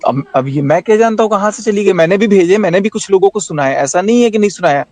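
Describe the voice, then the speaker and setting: High-pitched man arguing, switching languages. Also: expressive, fast, darkish tone, dry recording. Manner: repeats himself insistently, talks over others; switches between Hindi and English mid-speech. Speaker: panel participant at the centre of the dispute. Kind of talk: heated group call discussion, argumentative.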